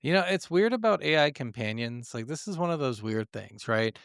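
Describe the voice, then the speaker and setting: A man's voice: mid-range voice, lively intonation, moderate pace, gravelly, a bit bright, no room sound, studio quality. A man co-hosting a casual gaming podcast, talking bluntly with plenty of swearing and complaint. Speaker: podcast co-host.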